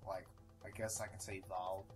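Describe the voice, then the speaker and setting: A man's voice: low-pitched, level tone, moderate pace. Young man, casual chat, hesitant, giggly, self-mocking, music behind. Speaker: guest friend.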